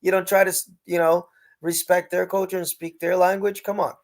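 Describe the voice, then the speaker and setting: A man hosting a casual chat show, talking in an animated, emphatic and friendly way. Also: sits high, fairly quick. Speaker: host.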